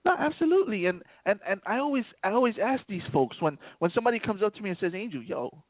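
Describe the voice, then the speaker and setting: A man's voice: high-pitched, big pitch swings, fast, dry recording. A man from the South Bronx, a rapper speaking as a guest in a casual radio interview, repeating words and leaning on "you know". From South Bronx, New York. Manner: stammering repeats, many "you know" fillers. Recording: tinny phone line, dry.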